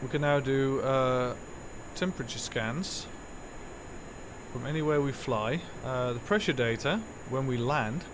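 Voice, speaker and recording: A man's voice: medium pitch, measured pace, noisy background. Chatty Dutch-native man streaming a game. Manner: rambling, self-correcting, chatty. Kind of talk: live gameplay commentary.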